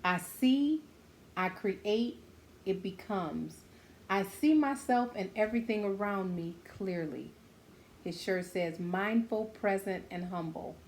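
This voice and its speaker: Woman, medium pitch, children's read-aloud, encouraging asides, simple direct address, noise behind the voice. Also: takes it slowly, a little mellow. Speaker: children's story-time host reading a picture book aloud.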